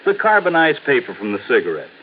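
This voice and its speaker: A man's voice: medium pitch, huge pitch swings, fast talker. An actor playing a brash, cocksure arson squad policeman, blunt and slangy, in an old radio drama. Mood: exasperated. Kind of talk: 1950s radio drama acting. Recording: noisy, narrow old radio recording.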